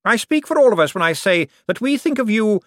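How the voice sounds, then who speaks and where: A man voicing a smug, wealthy bank director in an audiobook, suave and condescending, with drawn-out, menacing hints. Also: high-pitched, very animated, fairly bright tone, no room sound, studio quality, pitched above the performer's own voice. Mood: veiled threat. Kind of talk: audiobook character dialogue.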